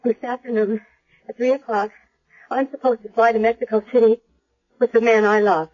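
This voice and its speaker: Young female role, played by a woman, high voice, earnest and hesitant, muffled old radio sound. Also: fairly even intonation, normal speed, a little mellow, close to an everyday voice. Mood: anxious, torn. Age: young adult. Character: troubled young secretary regaining her memory, earnest and torn. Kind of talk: 1970s radio drama acting. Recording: muffled 1970s radio broadcast.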